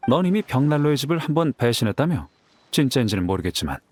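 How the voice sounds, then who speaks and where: A man's voice: mid-range voice, sing-song, fast, a little mellow, slight background noise, lightly acted. A man voicing a confident, playful young warrior in an anime game, with a casual, easygoing manner. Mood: probing.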